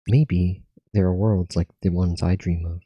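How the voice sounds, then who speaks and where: A man's voice: low-pitched, steady tone, unhurried, warm tone, husky, no room sound. A man reading aloud game dialogue as a dreamy, restless boy who longs for the outside world. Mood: wistful longing.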